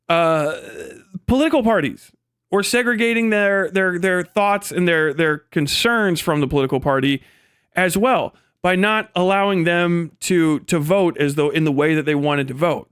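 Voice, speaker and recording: Clear, higher-pitched man in casual livestream commentary. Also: fairly bright tone, dry recording, clean studio sound. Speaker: livestream host. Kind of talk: casual profane political commentary.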